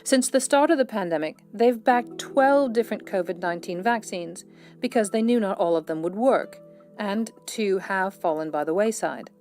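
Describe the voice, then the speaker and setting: A woman reporter reading a scripted news feature in a clear, even delivery. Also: mid-range voice, moderate pace, faint music behind.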